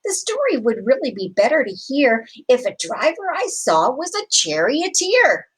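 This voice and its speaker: A woman reading a children's picture book aloud expressively, with deliberate, dramatic phrasing. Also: high voice, sing-song, breathy edge, low-quality recording. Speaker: storybook reader.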